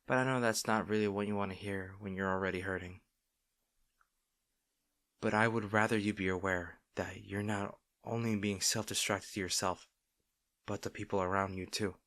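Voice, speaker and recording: A man playing a caring, gently firm boyfriend in an intimate roleplay audio, speaking softly, slowly and earnestly. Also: fairly low pitch, steady tone, dry recording, studio quality. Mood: tender concern. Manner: soft, slow, tender, earnest with sighs.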